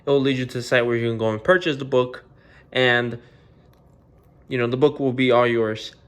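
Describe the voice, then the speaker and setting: A man's voice: mid-range voice, moderate pace, clear, resonant tone. A man hosting a children's read-aloud, narrating a picture book and chatting informally to viewers.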